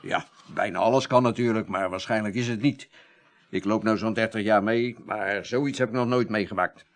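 An actor playing a middle-aged electrical contractor in a Dutch radio drama, explaining things in a courteous, practical way. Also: male voice, fairly low pitch, moderate pace, natural voice. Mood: earnest explaining. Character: earnest, seasoned male electrical contractor, polite and practical.

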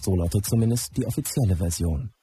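An actor playing a slick documentary radio presenter, giving scripted narration with punchy, dramatic phrasing. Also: male voice, on the low side, quick pace, slightly rough. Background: loud hip hop music.

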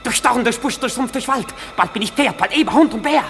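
A man's voice: very high voice, a little fast, vocal fry, a bit bright, full character voice. A man playing a mischievous, mocking forest sprite in a German radio drama, declaiming with glee. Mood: wild, gleeful. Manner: gleeful, declamatory. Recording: long reverb, music behind.

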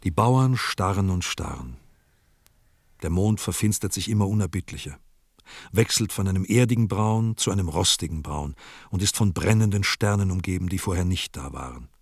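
A man's voice: low voice, expressive, normal speed, slightly rough, dry recording, clean studio sound, heavily acted. A man narrating a grim village tale in a radio play with grave, dramatic delivery. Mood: grim, ominous.

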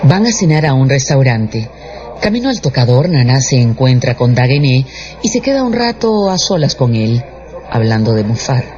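A man's voice: mid-range voice, big pitch swings, normal speed. A man delivering scripted, dramatic narration for a radio drama. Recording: dull radio production with music underneath.

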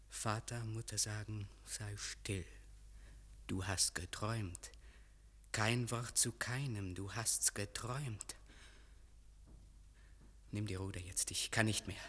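A man acting in a German radio play as an anxious, guilt-haunted young gentleman, timid and childlike, with a husky voice. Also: on the low side.

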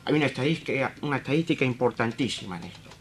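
A man's voice: medium pitch, animated, racing, slightly rough. A man with an Argentine accent giving comic football commentary as a guest analyst, dramatic and repetitive. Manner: dramatic rhetorical questions, repetitive.

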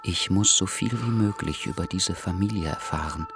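A man's voice: low-pitched, moderate pace, creaky, over music. An actor playing a withdrawn, lonely man in a radio drama, speaking in a subdued, hesitant way. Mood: brooding, resolute. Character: Tolja, withdrawn, lonely man in a crime story. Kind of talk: German radio drama acting.

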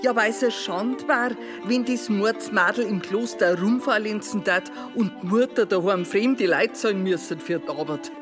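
A woman's voice: mid-range voice, a little slow, hardly any pauses, lightly acted. Actress as a brusque Bavarian innkeeper mother, music behind. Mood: scornful. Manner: blunt, commanding dialect speech. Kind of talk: radio drama acting.

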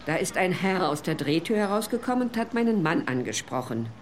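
A woman's voice: lowish voice, sing-song, a little fast, a little hiss or hum. A woman playing an older witness who plainly and painfully recalls a return visit in a documentary radio play. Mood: reminiscing.

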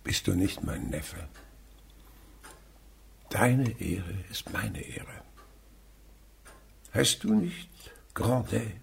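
An actor playing a sly, blustering old provincial miser in a radio drama, muttering and exclaiming theatrically. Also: male voice, on the low side, animated, extremely slow, creaky, long pauses, slightly rough, exaggerated voice. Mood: sly, stern. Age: older.

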